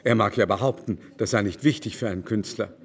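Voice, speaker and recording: An actor playing a vain, effusive baron and aesthete in a radio drama, talking in an affected, exclamatory way. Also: male voice, fairly low pitch, quick pace, slightly rough. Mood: smug, secretive. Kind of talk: literary radio drama acting. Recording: studio recording with music behind.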